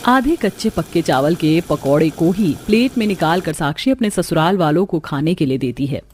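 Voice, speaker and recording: A woman's voice: fairly low pitch, lively intonation, moderate pace, a little mellow, slight background noise. A woman reading scripted narration for a children's cartoon story.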